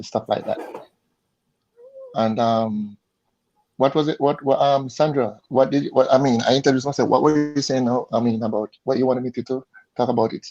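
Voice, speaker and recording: A Jamaican man speaks as a guest in a casual interview. His voice is mellow and relaxed, and he stops and repeats words while finding his point. The audio is slightly muffled and lo-fi, as from an online call. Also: medium pitch. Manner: halting, repeats words as he searches for them. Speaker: interview guest, insurance advisor. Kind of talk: casual conversational interview answers.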